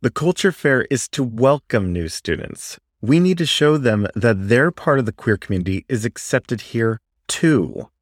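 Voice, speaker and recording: A man's voice: mid-range voice, lively intonation, normal speed, few pauses, no echo, clean studio sound, close to an everyday voice. A man voicing a female panther student in a story, earnest, pointed and standing her ground. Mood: firm.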